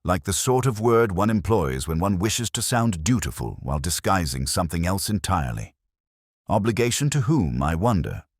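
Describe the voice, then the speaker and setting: Man playing a very deep, measured police inspector. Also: very animated, no room sound, studio quality, full character voice. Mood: low, pondering. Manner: measured, dryly wry, formal. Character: mild, courteous, shrewd male police inspector.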